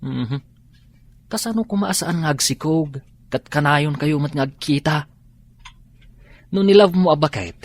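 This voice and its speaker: A man's voice: fairly high pitch, unhurried, pauses often. An actor playing a nosy young buddy in a radio drama, teasing and cautioning his friend. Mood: teasing warning. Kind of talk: Ilocano radio drama acting.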